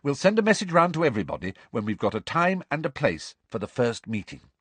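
A man reads as a bossy, earnest teenage girl who speaks briskly and tartly, her tone lively and swinging. The voice is a little husky, in a dry, close recording. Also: medium pitch, no room sound, pitched above the performer's own voice. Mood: tense. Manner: brisk, impatient, tart.

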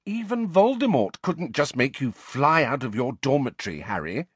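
A man voicing a brisk, bossy, clever teenage schoolgirl in an audiobook, airy and impatient in manner. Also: mid-range voice, sing-song, slightly rough, dry recording. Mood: exasperated.

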